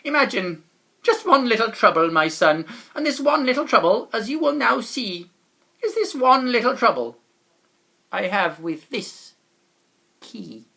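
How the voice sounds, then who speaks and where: Kindly gatekeeper man for a rhyming storybook: grand, emphatic, very animated pitch played by a woman. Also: a little slow, vocal fry, heavily acted. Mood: troubled, explaining. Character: friendly, kindly male doorman of a dream city. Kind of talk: storybook character reading in rhyme.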